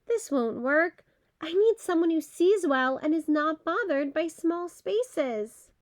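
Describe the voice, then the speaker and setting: A frustrated, under-the-weather male groundhog voiced by a woman in a children's storybook. The voice is high and swings widely as he calls out expressive instructions. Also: slow pace, exaggerated voice. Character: sick, frustrated male groundhog seeking a stand-in.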